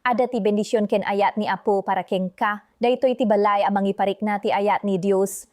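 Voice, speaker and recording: A woman's voice: medium pitch, steady tone, normal speed, darkish tone, dry recording, studio quality. A woman announcer reading a scripted promotional message.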